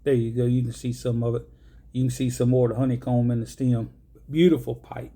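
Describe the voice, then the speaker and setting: A man's voice: medium pitch, level tone, brisk, dark tone. A man, casually rambling through a show-and-tell vlog with many ums and uhs. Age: 50s. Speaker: pipe-smoking vlogger.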